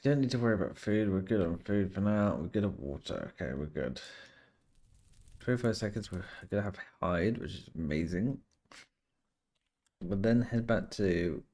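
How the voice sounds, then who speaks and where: A man's voice: low-pitched, vocal fry, a little mellow. A man streaming a survival game, chatting to viewers and thinking aloud as he plays, sounding a bit nasal and sniffly from a cold. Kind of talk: casual gameplay commentary.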